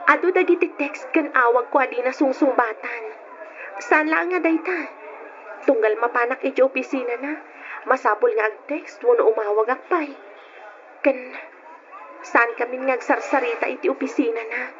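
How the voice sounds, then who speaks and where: A woman's voice: high-pitched, normal speed, a bit bright. An actress with an Ilocano Filipino accent playing a lovestruck, anxious young working woman in a radio drama. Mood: worried, plaintive. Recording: muffled, noisy old radio drama.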